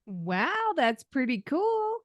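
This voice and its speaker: A woman's voice: high-pitched, sing-song, takes it slowly, no room sound. A woman hosts a podcast in a chatty, easygoing way and laughs freely. The sound is close and dry, with no room around her. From Southern United States. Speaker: podcast host. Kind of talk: podcast interview, friendly chat.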